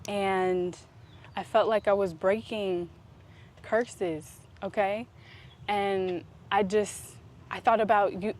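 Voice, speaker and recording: A woman talking in a casual, reflective vlog style, earnest and introspective, with deliberate pauses. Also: mid-range voice. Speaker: vlog host. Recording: clear steady background noise.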